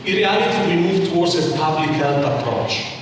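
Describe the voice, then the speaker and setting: Man, public health expert, deliberate interview speech, slightly non-native phrasing, very echoey, distant mic. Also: a little high, lively intonation, noisy background.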